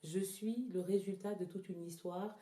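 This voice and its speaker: A woman from Togo who grew up partly in France gives reflective, personal interview answers, searching for her words with pauses and repetitions. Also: medium pitch, steady tone, warm tone. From born in Togo, lived in Ghana, moved to France as a child. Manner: hesitant, halting, repeats words as she searches for phrasing. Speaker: interview guest, traditional therapy practitioner. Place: indoors in a house. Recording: roomy, echoing indoor sound.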